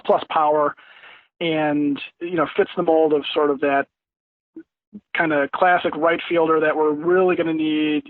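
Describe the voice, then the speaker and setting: A man's voice: fairly high pitch, no echo. Man on a thin phone-like line. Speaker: sports commentator guest. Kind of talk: casual sports analysis.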